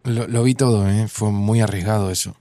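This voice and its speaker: A man's voice: lowish voice, fairly even intonation, normal speed, mellow, lightly acted. An actor playing a wry, affectionate Argentine man in a naturalistic audio drama. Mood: calm, affectionate. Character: wry, affectionate male friend of a time traveller.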